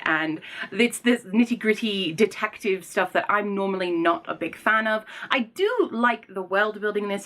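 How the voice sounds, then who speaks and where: A woman's voice: medium pitch, fairly bright tone. A woman speaking casually and informally as a video host, effusive and opinionated in the way she reviews. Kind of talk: casual chatty book vlog.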